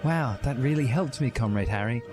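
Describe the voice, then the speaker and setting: A man's voice: medium pitch, animated, brisk, natural voice. A man voicing a tearful, melodramatic teenage schoolgirl in a comic story reading. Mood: soothed, pleading. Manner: sobbing, melodramatic. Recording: music behind the voice.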